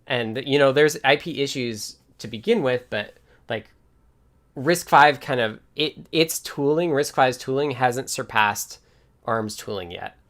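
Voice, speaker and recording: A man's voice: mid-range voice, a little slow, a bit bright. Informal man chatting about technical things. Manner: informal, thinks aloud while answering viewer questions. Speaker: livestream host and software developer. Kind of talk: casual technical chat.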